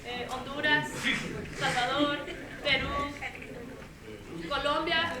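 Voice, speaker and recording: A woman's voice: high voice, steady tone, slow pace, a loud crowd. A woman from Ecuador introducing herself at an assembly in a hall, engaging the audience with questions. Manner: interactive, asks the audience questions. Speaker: violinist and music school founder. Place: school assembly stage. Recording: echoey hall, distant, clear noise.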